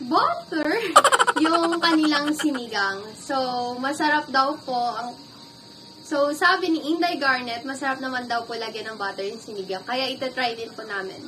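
A woman's voice: high-pitched, animated, normal speed, noisy background. A young woman hosting a casual cooking vlog, chatty, polite and playful, often giggling as she talks to the camera indoors. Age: young adult. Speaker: cooking vlogger. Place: dark indoor room, seated at a table.

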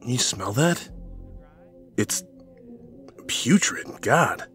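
A man's voice: mid-range voice, lively intonation, very slow, fairly bright tone, faint music behind. A man playing an overprotective, dismissive young husband in a horror audio drama, insistent and curt. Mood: suspicious.

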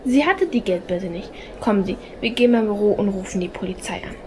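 An actress playing a stern, officious theatre usher in an audio drama, curt and authoritative. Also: female voice, mid-range voice, lively intonation, fairly quick, lightly acted. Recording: faint background noise.

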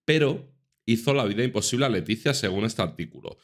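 A man's voice: mid-range voice, animated, brisk. A man in his thirties from Spain commenting on news articles to his viewers in an earnest, casual manner, stressing points by repeating them. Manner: earnest, repeats for emphasis, addresses viewers directly. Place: small room indoors.

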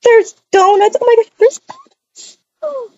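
A woman's voice: very high voice, measured pace, mellow, dull audio, a little hiss or hum. A woman improvising a voiced video-game roleplay, chatting and narrating her own actions aloud.

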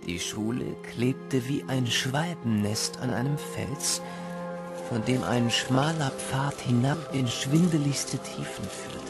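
A man's voice: mid-range voice, takes it slowly. A man acting as a wonderstruck storyteller, narrating a storybook audio drama in the first person. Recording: loud music under the voice. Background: music.

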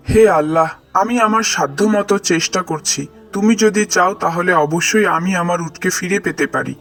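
Devout wayfarer for a cartoon, played by a man: high-pitched, earnest, prayerful, trusting. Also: moderate pace, a bit bright, soft background music. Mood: distressed, pleading. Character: devout, trusting male traveler with a camel. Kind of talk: cartoon voice acting.

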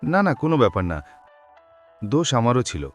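A man's voice: mid-range voice, very animated, brisk, soft background music. A man playing a polite, frightened young man in a cartoon horror story, with an anxious, dramatic manner. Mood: polite, reassuring.

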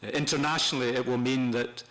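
An older man presenting semi-formally to a meeting, speaking off the cuff in a measured, halting way with pauses mid-phrase. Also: mid-range voice, fairly even intonation, a bit bright, rough recording. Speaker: panel speaker at a human rights meeting. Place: parliament committee room, indoors. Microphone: desk gooseneck microphone.

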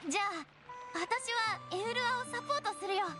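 Eager high-voiced young ranger girl. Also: fast. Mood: earnest, eager. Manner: earnest, plain boyish phrasing. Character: earnest, boyish-spoken young female forest ranger. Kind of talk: anime game dialogue.

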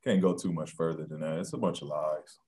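A man in his thirties answering postgame questions at a press conference, soft-spoken and halting with many ums and restarts, on a video call. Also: rumbling low. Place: press room with sponsor backdrop.

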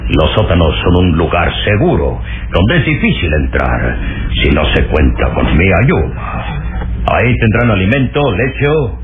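Deep, animated old bazaar keeper. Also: male voice, unhurried, slight background noise. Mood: welcoming. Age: older. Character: sly, loyal old male bazaar keeper, hospitable and defiant. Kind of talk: old radio serial acting. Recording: dull lo-fi vintage radio, music behind.

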